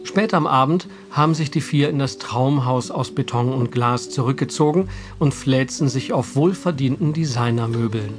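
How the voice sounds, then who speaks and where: Casting: man as audio drama narrator, measured wry delivery, middle register. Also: mid-range voice, music in the background.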